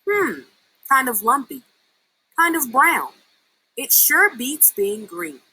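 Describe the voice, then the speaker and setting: A restless young male snake from a storybook, acted out by a woman. The voice is high, bright and animated, excitable and full of defiant exclamation. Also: takes it slowly, slightly rough, exaggerated voice, rough recording. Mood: relieved.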